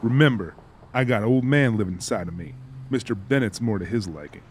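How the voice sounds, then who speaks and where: A man's voice: fairly low pitch, animated, a little fast, darkish tone, a little hiss or hum, close to an everyday voice. An actor playing an exasperated, wisecracking everyman in an audiobook, with dry, scolding banter. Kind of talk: audiobook character acting.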